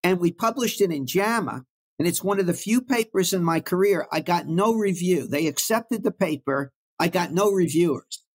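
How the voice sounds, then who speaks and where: An older man from Brooklyn recalls his working life as an interview guest. His voice sits a little high, and he speaks in an anecdotal, reflective way. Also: expressive, moderate pace. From Brooklyn, New York. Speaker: guest oncologist. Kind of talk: storytelling in an interview.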